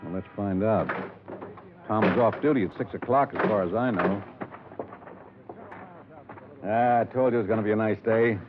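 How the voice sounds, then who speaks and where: A tinny old 1950s radio drama recording carries a low-pitched American man acting as a small-town police chief. He is weary and gruff, with a folksy, terse way of talking and a dry, sardonic authority. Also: normal speed, pauses often, very noisy, close to an everyday voice. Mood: dry, musing.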